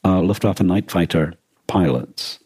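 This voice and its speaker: A man co-hosting a podcast, explaining things in a casual, halting discussion as he thinks aloud. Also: low voice, warm tone, breathy edge, no room sound. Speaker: podcast co-host. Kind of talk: casual discussion of a radio drama.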